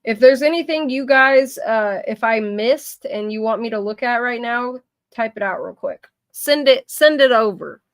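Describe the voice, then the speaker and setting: A woman's voice: fairly high pitch, normal speed, dry recording, clean studio sound. A woman co-hosting a livestreamed podcast, chatting casually and earnestly arguing her point at length. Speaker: podcast co-host.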